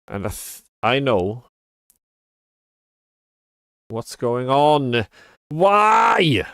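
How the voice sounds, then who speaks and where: A man's voice: mid-range voice, very animated, drawn-out words, fairly bright tone, no room sound, studio quality. A man giving casual live game commentary as a streamer, muttering in a mildly exasperated way. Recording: game music behind the voice. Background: video game music.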